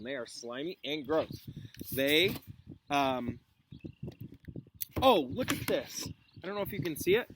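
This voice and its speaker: Male schoolteacher, bright voice, mid pitch, informal read-aloud with chatty asides, slight background noise. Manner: informal, interjects explanations and reactions. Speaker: schoolteacher reading aloud to class.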